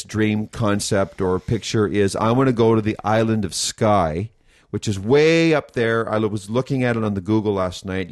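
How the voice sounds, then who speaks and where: A man's voice: fairly low pitch, a little mellow. A man co-hosting a casual chat, enthusiastic and rambling as he thinks aloud about plans. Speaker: co-host of a nutrition school podcast.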